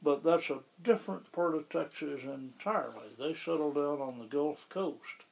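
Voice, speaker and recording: A man being interviewed about the town where he lives, explaining things in a measured, conversational way. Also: on the high side, creaky, a little mellow, poor audio. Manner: measured, explanatory, with occasional restarts. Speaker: interviewee, local resident.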